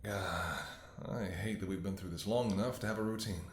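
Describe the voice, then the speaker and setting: A man's voice: deep voice, moderate pace, reverberant, lightly acted. A man playing a weary, devoted doctor in an intimate ASMR audio roleplay, speaking tenderly and soothingly to an ailing lover. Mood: wistful. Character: weary, devoted male doctor tending an infected lover in apocalypse. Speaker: voice actor.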